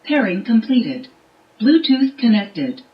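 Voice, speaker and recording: A woman's voice: on the high side, very animated, takes it slowly. A woman's automated voice assistant giving short status announcements. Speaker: voice assistant of a car radio. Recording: dull, echoey speaker playback under loud music.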